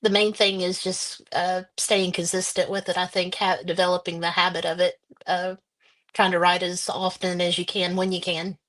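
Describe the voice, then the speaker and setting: A woman's voice: on the low side, flat delivery, moderate pace, a bit bright, low-quality recording. A woman guest author answering interview questions in a casual, hesitant way. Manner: hesitant, many ums.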